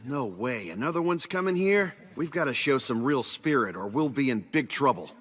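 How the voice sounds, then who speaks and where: An actor playing a feisty, excitable young male ninja with a husky voice in an anime dub. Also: mid-range voice, moderate pace. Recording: hall reverb, faint music.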